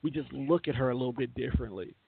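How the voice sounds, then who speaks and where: A man's voice: mid-range voice, quick pace, creaky, a little hiss or hum. An American man co-hosting a casual radio chat, giving blunt, joking opinions in slangy speech. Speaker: radio show co-host. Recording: thin phone-like band-limited audio.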